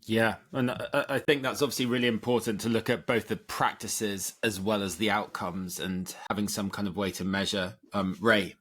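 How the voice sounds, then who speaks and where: Husky, low-voiced man hosting. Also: fairly even intonation, normal speed, hardly any pauses, fairly bright tone. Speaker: podcast host. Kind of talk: conversational moderating.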